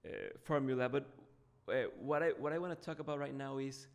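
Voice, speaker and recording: A man's voice: medium pitch, fairly even intonation, fairly quick, creaky. Hesitant man hosting an interview. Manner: hesitant, many fillers and repeats while forming questions. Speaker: podcast host. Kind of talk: interview questions.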